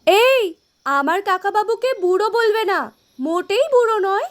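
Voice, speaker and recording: A woman's voice: very high-pitched, lively intonation, a little slow. A woman playing a bold, cheeky, loyal young girl in an audio story, eager and outspoken. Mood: defiant. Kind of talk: Bengali audio story acting.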